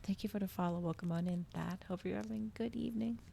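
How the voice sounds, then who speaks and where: A soft-spoken woman reading a story and chatting to viewers in a cozy ASMR style, often slipping toward a whisper, close to the microphone. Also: mid-range voice, fast talker, vocal fry, a little hiss or hum. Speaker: streamer reading aloud to viewers.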